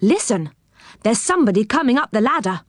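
Woman voicing a practical, high-voiced storybook little man. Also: sing-song, fairly quick, no echo, clean studio sound. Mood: gloomy.

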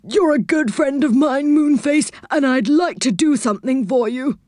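A kindly, loud old saucepan man from a children's story, played by a woman. He is eager and emphatic, with a high-pitched voice and a close, dry sound. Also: moderate pace, dry recording, lightly acted. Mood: helpful.

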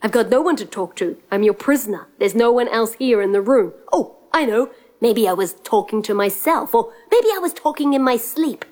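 A woman's voice: a little high, expressive, darkish tone, natural voice. Woman voicing a jittery young prince. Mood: flustered. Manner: fast, flustered, stammering. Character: jittery young male prince, a nervous prisoner.

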